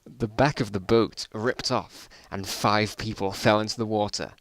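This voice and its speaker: A man's voice: lowish voice, steady tone, a little slow, a bit bright, no room sound. A man reading his own old stories aloud in a casual dramatic style, with self-mocking, bemused asides.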